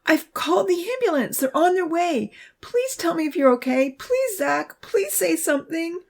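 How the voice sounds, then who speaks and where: Woman narrator playing tearful teen girl, high shifted pitch, pleading, shaky, frantic, audiobook story character. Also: big pitch swings, moderate pace, creaky, a little mellow, heavily acted. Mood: panicked, pleading.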